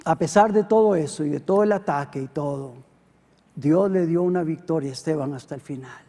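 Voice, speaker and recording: A middle-aged Costa Rican pastor preaching a sermon, swinging between loud emphatic peaks and quiet low asides. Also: male voice, vocal fry. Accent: Costa Rican Spanish. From Costa Rica. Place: church hall. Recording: long hall reverb.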